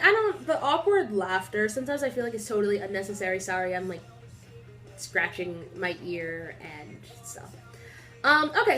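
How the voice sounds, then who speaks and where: Young woman vlogger, congested, chatty and rambling, a touch high-pitched. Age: young adult. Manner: rambling, filler-heavy, animated storytelling. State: stuffy nose. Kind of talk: casual chatty vlog. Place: small indoor room.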